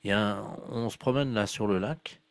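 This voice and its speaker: A man making a deadpan prank phone call, speaking seriously and a little hesitantly. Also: fairly low pitch, normal speed, vocal fry, no echo. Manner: serious, hesitant delivery of absurd claims. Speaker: prank caller.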